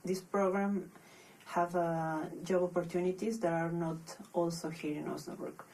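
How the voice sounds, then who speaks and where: A young woman from Peru with a Peruvian Spanish accent, a podcast guest speaking English as a second language, thoughtful and pausing often to restart her phrases. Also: on the low side, steady tone, darkish tone, distant mic, poor audio. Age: young adult. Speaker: podcast guest, master's student. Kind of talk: casual interview.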